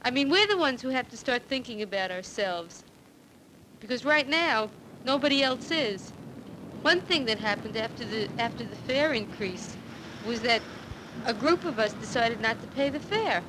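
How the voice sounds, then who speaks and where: A woman's voice: fairly high pitch, expressive, moderate pace, noisy background. An American woman activist speaking earnestly in a documentary. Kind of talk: earnest documentary interview and commentary. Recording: old newsreel film sound with music behind. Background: music.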